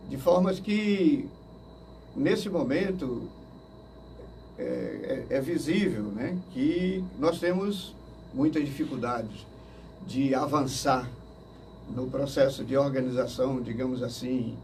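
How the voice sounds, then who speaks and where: Man, older, unhurried halting speech, mic set back, light background hiss. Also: mid-range voice, a little mellow. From Manaus, Brazil. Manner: slow, hesitant, many fillers and restarts. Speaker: interview guest. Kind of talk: unscripted interview answers. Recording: distant mic with faint noise.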